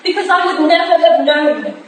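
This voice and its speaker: A woman's voice: high voice, animated, normal speed. A woman playing a hurt, indignant Victorian wife, speaking with pointed reproach in a staged reading of a period drama. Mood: reproachful. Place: staged reading in a room, recorded from afar. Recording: distant echoey room, faint noise.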